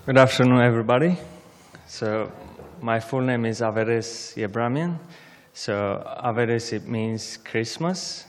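Young man, unhurried testimony in a reverberant church. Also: mid-range voice, a little hiss or hum. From Syria. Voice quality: halting non-native English, simple phrasing. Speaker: guest speaker. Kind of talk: personal testimony speech.

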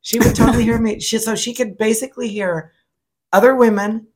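A middle-aged man co-hosting a podcast, chatting in an animated, gushing, campy way. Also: very high-pitched, fairly quick. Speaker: podcast co-host. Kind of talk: casual chat.

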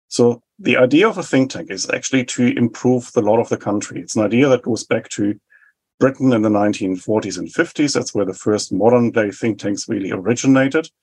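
Husky German-accented man explaining policy. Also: mid-range voice, a little mellow. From West Germany. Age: 40s. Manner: measured, explanatory, rhetorical lists. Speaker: think tank head, guest. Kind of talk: interview answers.

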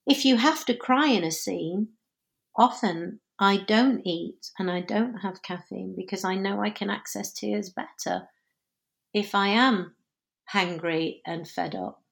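A woman's voice: mid-range voice, a little slow, vocal fry. A woman actor being interviewed, talking in a chatty way, laughing often and correcting herself. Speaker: actor and dancer guest. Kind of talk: conversational interview.

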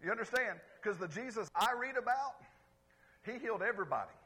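Male pastor, fairly high, bright and husky; animated sermon, calls on the congregation. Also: brisk, poor audio. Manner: swings between loud exclamations and quiet asides. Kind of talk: lively conversational sermon. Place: church service before a congregation.

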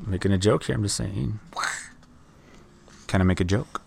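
A man hosting a casual home podcast, talking in a monotone with lots of fillers. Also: fairly low pitch, normal speed, vocal fry, background noise. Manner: monotone, rambling with many fillers. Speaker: podcast host. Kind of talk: casual chat. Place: home in San Antonio.

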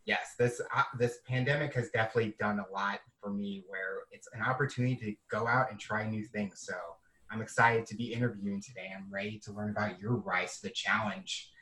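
A man's voice: fairly low pitch, fairly even intonation, brisk, sharp tone, recorded from a distance, low-quality recording. A young adult man hosting an interview podcast, asking friendly, long questions. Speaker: podcast host.

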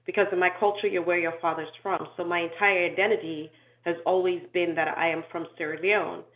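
A woman who grew up in Nigeria, talking through her life story in an interview, thoughtful and measured, with frequent ums and restarts. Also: on the low side, fairly even intonation, a little hiss or hum. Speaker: inclusion and diversity consultant, guest. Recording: tinny echoey phone-like call audio.

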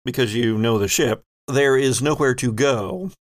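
A man's voice: medium pitch, measured pace, no echo, clean studio sound. A man acting as game master, narrating a horror tabletop game in a descriptive, suspenseful way.

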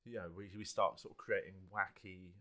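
A man's voice: sits low, fairly even intonation, fairly quick, sharp tone. A middle-aged man with a South London accent, a comedian chatting casually on a podcast and thinking aloud with easy laughs. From South London, England. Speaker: guest comedian.

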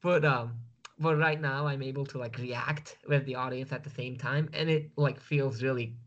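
A man's voice: mid-range voice, steady tone, moderate pace, fairly bright tone, lo-fi sound. A man from Turkey with a Turkish accent, a guest speaking over a video call, hesitant and full of fillers.

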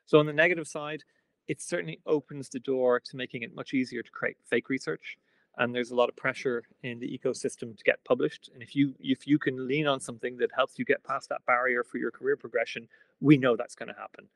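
Guest expert, male, fluent and quick, dry close-miked read. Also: mid-range voice, fairly even intonation, gravelly, fairly bright tone, dry recording, rough recording. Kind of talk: explanatory interview answer.

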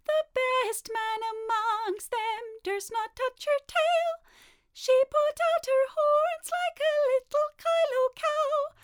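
A chorus of tiny, cheeky mouse tailors chants rhymes in a piping, sing-song lilt, all put on by a woman reading a storybook. The voices sit very high and drawn out. They sound merry and a little saucy. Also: bright, forceful tone, heavily acted.

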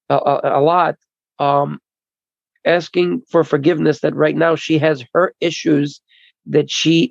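A man with a somewhat high, gravelly voice interprets for a speaker, pausing and restarting his phrases. The sound is dry and dull, like lo-fi video call audio. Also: dry recording. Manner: halting, repeats and restarts phrases. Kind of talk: consecutive interpreting at a recovery meeting.

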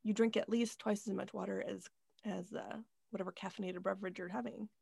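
Gravelly-voiced woman podcast host. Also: mid-range voice, fairly even intonation, fairly quick, warm tone, no echo, clean studio sound. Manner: earnest and philosophical, swearing freely. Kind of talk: casual chat.

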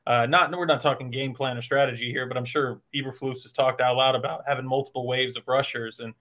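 Long-winded, mid-pitched sports talk host. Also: male voice, fairly even intonation, fast, a bit bright. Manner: long-winded, wordy questions. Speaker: podcast co-host. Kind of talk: casual talk show hosting.

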